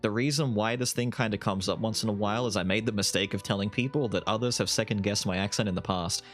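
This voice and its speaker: A young Australian man answering viewer questions in a casual, rambling, self-deprecating way. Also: lowish voice. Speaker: YouTube gaming creator answering viewer questions. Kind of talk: casual Q&A chat. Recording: voice over faint background music.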